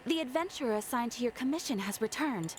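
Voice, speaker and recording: A woman's voice: on the high side, animated, quick pace, lightly acted. A woman playing a courteous, businesslike guild receptionist in a video game. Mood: polite, brisk.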